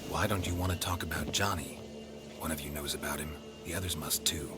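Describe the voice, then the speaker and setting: An actor playing a stoic, terse monster hunter in a video game, with a low gravelly voice. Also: male voice, a little fast. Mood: calm, insistent. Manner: terse, restrained, deadpan. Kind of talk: video game cutscene acting. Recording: loud music behind.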